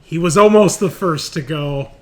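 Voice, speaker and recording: A man's voice: a little high, normal speed, a little mellow. A man running a horror tabletop roleplaying game as game master, switching between dramatic narration and casual rulings. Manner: swears casually. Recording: clear background noise.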